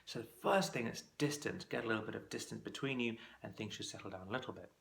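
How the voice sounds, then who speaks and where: A man's voice: lowish voice, fast, vocal fry, fairly bright tone. A man teaching as a clinical exam course tutor, giving practical coaching tips in a conversational instructional talk to camera.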